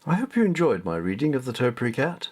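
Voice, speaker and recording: A man reads a storybook as its author, in a medium-pitched voice. His scripted narration is expressive, with very varied intonation. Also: quick pace, breathy edge.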